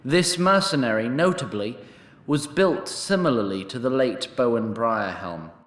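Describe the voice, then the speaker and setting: Very slow, measured male narrator, roomy echo. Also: mid-range voice, lively intonation, a bit bright. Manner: measured, formal. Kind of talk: scripted dramatic storytelling narration. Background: music.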